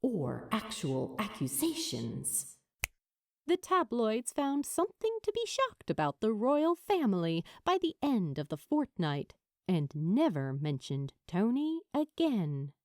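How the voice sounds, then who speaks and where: Slow, expressive woman narrating fiction. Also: mid-range voice, no echo, studio quality. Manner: measured, expressive reading. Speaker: amateur audiobook narrator.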